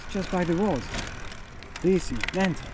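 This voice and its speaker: A man in his forties with a French accent films himself by a dry roadside, holding the camera at arm's length. He talks in a loose, chatty way, full of pauses and fillers, in a slightly high voice. Faint outdoor noise runs underneath. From France. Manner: chatty, hesitant with many fillers. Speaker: cycling travel vlogger. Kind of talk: casual self-filmed travel vlog. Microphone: camera held at arm's length.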